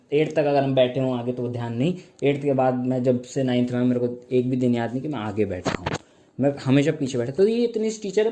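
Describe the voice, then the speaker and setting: A young man performing a stand-up comedy set, telling stories with slow mock-grand stretches and playful sound effects. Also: mid-range voice, mellow.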